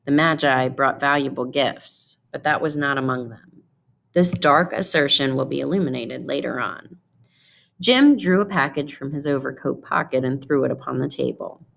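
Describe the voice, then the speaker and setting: A woman narrates a story aloud in an expressive, read-from-the-page style. Her voice is quite low for a woman and often drops into vocal fry. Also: normal speed, slight background noise. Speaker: story reader.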